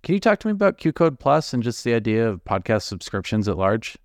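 A man's voice: mid-range voice, very animated, fast, dry recording, clean studio sound. A man hosting a podcast, asking direct, friendly interview questions. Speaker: podcast host. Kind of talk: interview questions and scripted outro.

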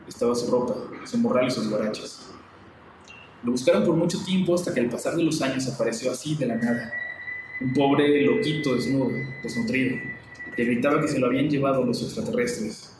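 A man's voice: medium pitch, noisy background. Mexican man reading a spooky tale. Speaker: guest storyteller. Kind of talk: reading a scary story aloud. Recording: echoey room, mic far off.

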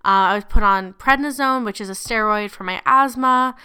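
A woman's voice: fairly high pitch, normal speed, pushed and bright, smooth. A young woman hosting a casual sports podcast, chatty with many fillers, still getting over bronchitis. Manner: chatty, lots of fillers, laughs easily. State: recovering from bronchitis, lingering cough. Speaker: podcast host.

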